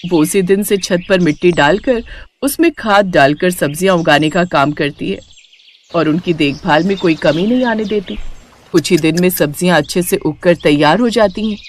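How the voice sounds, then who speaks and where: A woman's voice: fairly low pitch, normal speed. A woman reading scripted storybook narration for a cartoon moral tale.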